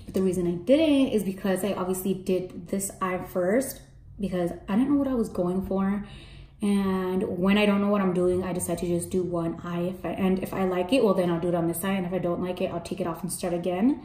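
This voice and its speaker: Young woman, mellow and a touch raspy, casual slangy beauty-vlog chat at home, echoey room. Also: fairly quick. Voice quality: slightly raspy, deep for a woman. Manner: chatty, slangy, candid asides to viewers. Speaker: makeup artist and beauty vlogger. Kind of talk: casual makeup tutorial. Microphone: camera in front, face framed close.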